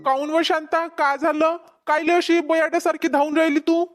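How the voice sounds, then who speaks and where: Cartoon farmer husband, acted by a woman: high voice, Vidarbha accent, concerned, gently chiding and reasoning. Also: little pitch movement, normal speed, soft background music, close to an everyday voice. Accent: Vidarbha Marathi. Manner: placating, explaining, gently chiding. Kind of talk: cartoon comedy dialogue.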